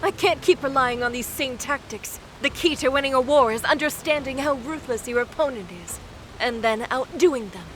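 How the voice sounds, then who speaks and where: An actress playing a ruthless, coldly calculating water princess in an audio drama. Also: female voice, high-pitched, moderate pace, a bit bright, slight background noise, rough recording. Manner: cold and calculating.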